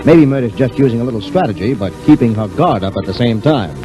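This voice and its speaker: A man's voice: mid-range voice, expressive, quick pace, rough recording. A man announcing a 1940s radio serial in a dramatic style. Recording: old 1940s radio recording with music.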